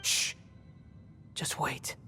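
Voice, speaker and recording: Haughty, sardonic young antihero. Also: male voice, drawn-out words, pushed and bright, faint music behind, exaggerated voice. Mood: dismissive, guarded. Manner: sarcastic, dismissive, curt. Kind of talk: video game voice acting.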